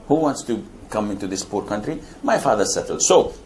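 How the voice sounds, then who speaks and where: Older Saudi man preaching, low voice. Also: lively intonation, brisk, a little mellow. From Saudi Arabia. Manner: wry jokes, emphatic rises in pitch. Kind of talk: lecture Q&A answer.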